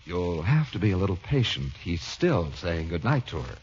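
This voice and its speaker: A man's voice: deep voice, sing-song, normal speed, slight background noise. A man with an American accent doing scripted announcing and narration in 1940s radio style. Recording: muffled vintage radio recording. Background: music.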